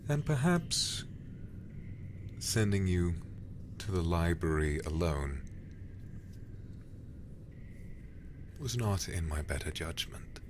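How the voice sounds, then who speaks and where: A man voicing a possessive, controlling male lover who hushes and coaxes in a soft whisper, tender on the surface and menacing beneath. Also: low-pitched, animated, noisy background. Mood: calm, eerie. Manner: slow, coaxing, falsely tender. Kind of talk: audio roleplay, whispered.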